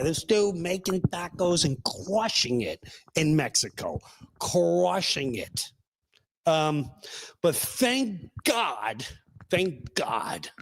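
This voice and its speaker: A man hosting a loose, opinionated talk show. He is sarcastic and animated, and his voice sits a bit high. He talks slowly and takes his time. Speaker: podcast host.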